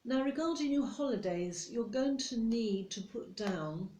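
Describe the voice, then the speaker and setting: Woman acting a slow, mellow, strict British boss. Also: a little high, lively intonation. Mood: stern, disapproving. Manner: stern, condescending, deliberate. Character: strict, nitpicking female office boss telling off a new employee. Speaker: ASMR roleplay performer. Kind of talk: ASMR roleplay monologue addressed to the listener. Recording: roomy echo.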